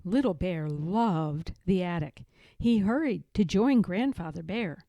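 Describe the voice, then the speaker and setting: A woman reading a children's storybook aloud in a deliberate, expressive manner. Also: lowish voice, no room sound, dull audio. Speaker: storybook reader. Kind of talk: children's read-aloud narration.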